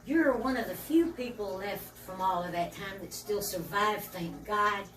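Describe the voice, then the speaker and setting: A woman hosting a casual interview, who speaks of her guest with warmth and admiration. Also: mid-range voice, measured pace, faint music behind. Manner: warm, admiring. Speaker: interview host. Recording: echoey room, distant mic.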